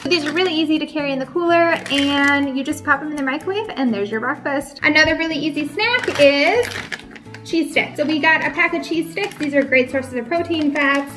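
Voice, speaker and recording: A woman in her thirties from Minnesota, chatting to the camera at home as she holds up items one by one. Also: sits high. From Minnesota, USA. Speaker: vlogger. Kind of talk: casual product show-and-tell. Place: bright home room indoors.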